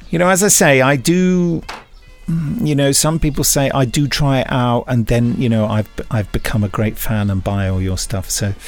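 A man's voice: medium pitch, fairly even intonation, normal speed, soft background music. A man co-hosting a podcast in jokey, casual chat, punning and playfully mock-indignant. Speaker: podcast co-host.

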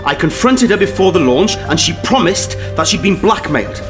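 Urgent, high-voiced accused spaceship crewman. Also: animated, normal speed. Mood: earnest. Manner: urgent, explanatory. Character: earnest accused crewman pleading his innocence aboard a spaceship. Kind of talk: sci-fi audio drama acting. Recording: loud music behind the voice.

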